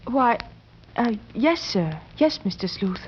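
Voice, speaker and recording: A spirited young woman, with a high voice and a naive, outspoken way about her. She speaks on a muffled, noisy vintage radio recording. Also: big pitch swings, slow. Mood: puzzled, polite. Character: lively, outspoken, naive young working-class London woman. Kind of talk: old-time radio drama acting.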